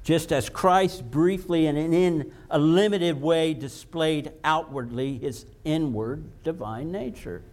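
A man preaching a sermon, slow and emphatic, repeating words for stress. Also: fairly high pitch, darkish tone.

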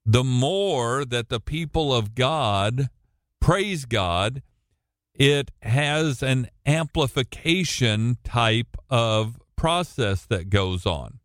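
A man preaching a sermon and reading scripture into a computer microphone. He has a booming voice and speaks deliberately, with frequent ums. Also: animated, no room sound, clean studio sound. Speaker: pastor.

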